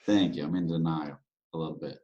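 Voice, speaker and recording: Deep-voiced man in his thirties. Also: fairly quick, lo-fi sound. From Louisiana, USA. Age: 30s. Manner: relaxed, candid, many fillers. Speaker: guest, real estate broker. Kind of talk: podcast interview.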